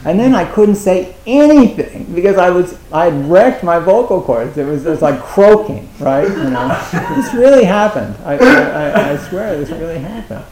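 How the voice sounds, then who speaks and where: A man giving a dharma talk as a Zen teacher, speaking haltingly with many restarts and a wry, self-mocking humor. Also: high-pitched, animated, a little mellow, echoey room, low-quality recording. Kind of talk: dharma talk at a meditation retreat.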